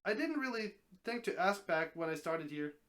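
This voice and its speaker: A man's voice: high voice, expressive, normal speed, a bit bright. A man voicing an old, easygoing talking snake in a dramatic story reading, folksy and matter-of-fact. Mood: explaining, easygoing.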